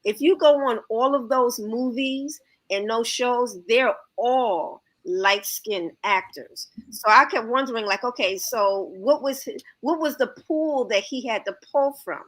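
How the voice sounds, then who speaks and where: A woman's voice: fairly high pitch, a little slow, few pauses, fairly bright tone, rough recording. A woman, a guest reviewer from Harlem, New York, talking in a casual panel chat and reasoning aloud with rhetorical questions.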